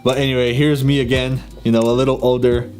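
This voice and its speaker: A man's voice: medium pitch, little pitch movement, fast talker, a little mellow, soft background music. A young man from Brazil with a Brazilian Portuguese accent, talking casually to camera, laughing often and filling gaps with ums. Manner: chatty, laughs often, many fillers and restarts. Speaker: YouTuber.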